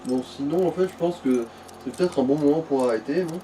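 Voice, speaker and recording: A man's voice: a little high, brisk, dark tone. A man commenting casually on a video game, giving a friend tips in an offhand, crude way. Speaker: let's play co-commentator advising the player. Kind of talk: casual gaming chat. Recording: distant mic with faint noise.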